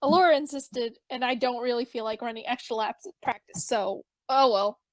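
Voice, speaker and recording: A woman playing an awkward, brainy young tech whiz, wordy and deadpan, in an amateur dramatic reading. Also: on the high side, a little fast, no echo, lightly acted, rough recording.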